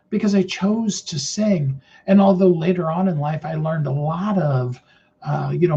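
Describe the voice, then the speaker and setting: A middle-aged man with a naturally high voice giving an earnest, rambling motivational talk with many fillers and restarts. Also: mellow, dull audio. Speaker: motivational speaker.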